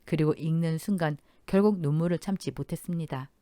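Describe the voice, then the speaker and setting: A woman narrating a scripted audio drama in the first person, calm and measured. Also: sits low, no echo, studio quality. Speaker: storyteller.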